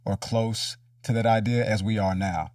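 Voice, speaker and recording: A man's voice: fairly low pitch, fairly even intonation, moderate pace, darkish tone, no echo, clean studio sound, far from the mic. A man hosting a casual, reflective conversational interview in informal language. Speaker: interviewer, show host.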